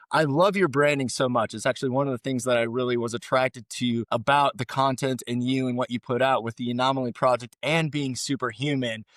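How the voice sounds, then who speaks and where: A man's voice: mid-range voice, fairly bright tone, dry recording. Podcast host guy, upbeat and gushing, dry close mic, mid voice. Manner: enthusiastic, effusive introduction. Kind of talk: podcast interview.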